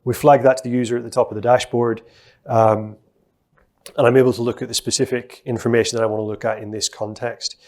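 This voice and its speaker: Man presenting a software demo at a lectern. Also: medium pitch, fast. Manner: explanatory, steady, with frequent fillers. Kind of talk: conference talk with live demo. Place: conference stage at a lectern.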